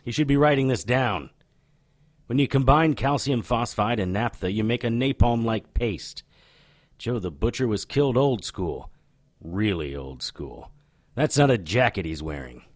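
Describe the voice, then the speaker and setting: A man's voice: medium pitch, no echo. A man playing a cocky, sardonic occult detective in a noir-flavored audio drama, showy and needling.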